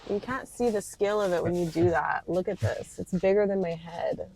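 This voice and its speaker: A woman's voice: fairly low pitch, fairly even intonation, normal speed, darkish tone, a little hiss or hum, poor audio. A woman, the vlogger's partner, teasing him in casual chat. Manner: teasing, playful.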